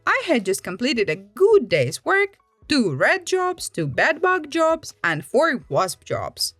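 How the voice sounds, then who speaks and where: A woman from Finland hosting a story channel, reading aloud in a dramatic, expressive way. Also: high voice, slow, no echo, clean studio sound. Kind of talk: animated read-aloud storytelling. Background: background music.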